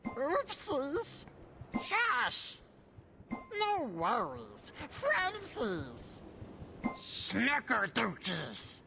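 A woman's voice: high-pitched, vocal fry, noisy background, full character voice. A woman voicing a cute, childlike little monster in a video game, talking in gleefully menacing baby-talk. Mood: playful. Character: mischievous cute little childlike nightmare monster, playfully spooky. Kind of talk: video game character voice lines. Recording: game music behind the voice. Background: music.

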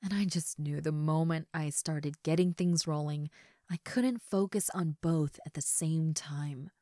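Casting: woman playing a regretful young teacher and ex-girlfriend, lowish voice, hesitant and heartfelt, intimate dry roleplay audio. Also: measured pace, no echo. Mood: guilty, earnest. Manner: soft sighs, hesitant heartfelt delivery. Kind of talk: intimate dramatic roleplay monologue. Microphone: close studio mic, dry.

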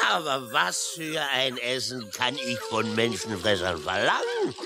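An actor playing a boisterous, yarn-spinning sailor uncle with salty, hearty exclamations in a children's audio drama. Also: male voice, medium pitch, wildly swooping pitch, slow pace, gravelly, heavily acted. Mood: hearty, boastful. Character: boisterous, yarn-spinning old seafaring uncle with a wooden leg.

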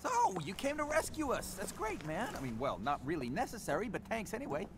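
A man's voice: high-pitched, wildly swooping pitch, brisk. A man playing a sarcastic, talky, skeptical soldier in a video game. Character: sarcastic wisecracking male soldier, excitable and skeptical. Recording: loud engine and ambience noise.

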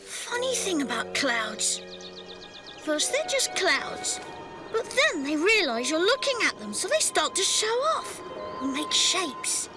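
A woman's voice: high voice, sing-song, takes it slowly, full character voice. A woman voicing a cheeky, playful little tomcat who argues like a child, in a cartoon. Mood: dreamy. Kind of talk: cartoon voice acting. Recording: music under the voice.

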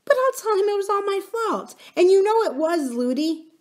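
A woman voicing an earnest, polite little princess in a storybook, childlike and gently insistent. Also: very high-pitched, animated, moderate pace, voice pushed up. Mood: pleading.

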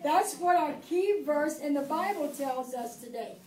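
Middle-aged woman, children's church teacher, slow and clear, echoey room from a distance. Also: high voice, animated, darkish tone, slight background noise. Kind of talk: reading a Bible story to preschoolers. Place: church preschool classroom. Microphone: far camera.

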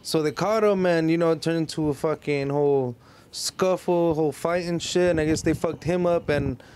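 A man's voice: on the high side, moderate pace, a little mellow, smooth. A young man telling a story casually on a podcast, in slangy, profane language. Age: young adult. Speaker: podcast panelist. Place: small room with couches, indoors.

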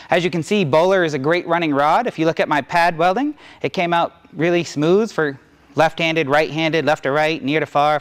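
Higher-pitched male welding instructor. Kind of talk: hands-on demonstration, talking to camera. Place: large indoor welding shop.

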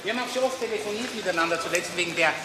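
An actor playing a genial, talkative middle-aged police inspector with a Viennese accent in a radio crime drama. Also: male voice, high voice, rapid-fire, close to an everyday voice. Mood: chatty. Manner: chatty, easygoing, lively. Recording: hissy old radio recording.

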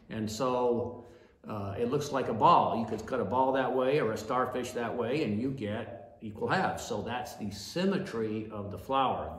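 A man's voice: mid-range voice, echoey room. An older man giving an informal botany class from a desk, chatty and always asking "all right?" or "okay?". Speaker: plant educator. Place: small office room at a desk.

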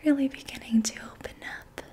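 A young woman whispering breathily in a soothing, gentle ASMR style as she guides an energy healing session. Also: brisk. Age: young adult. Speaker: ASMR reiki practitioner. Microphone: close mic.

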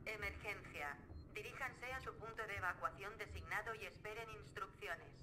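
A woman's voice: mid-range voice, normal speed, background noise, natural voice. A woman voicing an impersonal emergency announcement system in a video game, speaking formally. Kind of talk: formal scripted public announcement.

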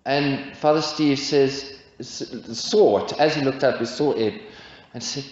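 Slow-preaching man in an echoey church. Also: medium pitch, darkish tone. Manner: measured preaching with rhetorical questions. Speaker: pastor. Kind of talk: sermon. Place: church, indoors at a pulpit. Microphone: pulpit stand mic. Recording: echoey church, dull lo-fi sound.

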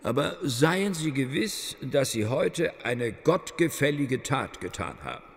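Pompous reverend, echoing. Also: male voice, medium pitch, sing-song, measured pace, vocal fry. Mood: solemn, condescending. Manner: condescending, solemn. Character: pompous, condescending, pious male clergyman. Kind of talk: German radio play acting.

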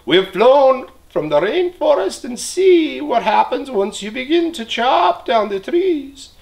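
A man voicing a toucan that squawks in a shrill, high voice. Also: big pitch swings, measured pace, vocal fry, full character voice. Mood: stern. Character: squawking male toucan bird.

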